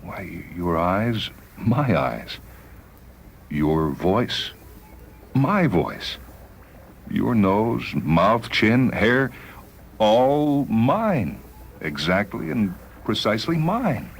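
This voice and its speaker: A man playing a dying spaceman in a dramatised story, wistful, haunted and intense, with halting, emotional delivery. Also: fairly low pitch, big pitch swings, creaky, a little hiss or hum, pitched above the performer's own voice, exaggerated voice. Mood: awed, halting. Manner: halting, emotional, repeats words. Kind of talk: dramatised short-story reading.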